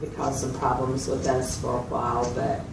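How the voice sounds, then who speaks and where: Woman playing reflective psychiatric patient, very flat intonation, hesitant, plain-spoken, conversational, roomy echo, clear background noise. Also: extremely low pitch, normal speed, distant mic. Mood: candid, subdued. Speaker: mental health patient in a consultation. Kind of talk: role-played clinical interview, conversational.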